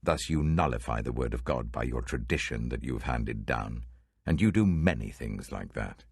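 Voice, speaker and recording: A man's voice: a bass voice, animated, moderate pace, a little mellow, slightly rough, dry recording, studio quality, pitched below the performer's own voice, full character voice. A British man voicing a stern, authoritative religious teacher in a dramatic scripture reading, emphatic and weighty. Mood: rebuking.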